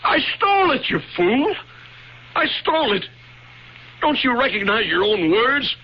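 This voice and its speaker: An actor playing an anguished, guilt-ridden older author in an old-time radio drama, agitated and repeating himself in short bursts. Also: male voice, very high voice, big pitch swings, a little slow, breathy edge, heavily acted. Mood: tormented confession. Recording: dull, lo-fi radio drama recording.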